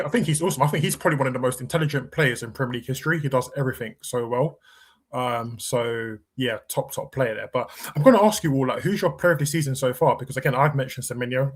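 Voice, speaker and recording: A man hosting a football podcast, chatting casually with a panel. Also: mid-range voice.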